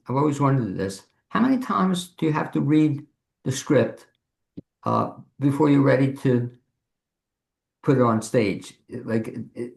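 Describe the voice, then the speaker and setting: A man's voice: mid-range voice, vocal fry, a little mellow. A man hosting a casual interview and putting questions to his guests, recorded with a roomy echo. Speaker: interviewer, host. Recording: roomy, echoing voice pickup.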